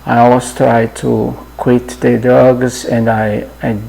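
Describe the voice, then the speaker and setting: A Brazilian man in his fifties with a Portuguese accent, introducing himself to viewers in a halting, friendly way, often trailing off with "yeah". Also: medium pitch, level tone, vocal fry, dark tone, poor audio. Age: 50s. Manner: halting, many fillers and restarts, often adds "yeah". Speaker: channel host. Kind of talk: casual personal introduction.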